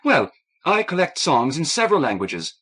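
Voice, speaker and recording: A man voicing a gentle, timid, warm-hearted young woman with an eager manner in an audiobook. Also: on the high side, huge pitch swings, moderate pace, breathy edge, pitched above the performer's own voice, heavily acted. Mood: friendly, flustered. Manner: eager, stammering when upset.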